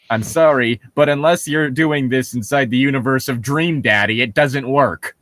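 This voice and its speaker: A man's voice: medium pitch, measured pace, a bit bright, no room sound. A man co-hosting a mock story reading, switching between reading aloud and sarcastic, profane commentary.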